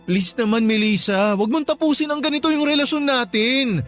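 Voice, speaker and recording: A man's voice: extremely high pitch, normal speed, a bit bright. A man playing a guilty, pleading young boyfriend in a Tagalog radio drama, with music behind him. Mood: desperate, pleading. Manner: imploring, repetitive pleading.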